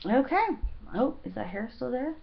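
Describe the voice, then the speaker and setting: A woman talking casually through a makeup tutorial, rambling and halting with many fillers, her voice slightly hoarse. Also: on the high side, animated. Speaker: beauty vlogger. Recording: dull lo-fi home recording.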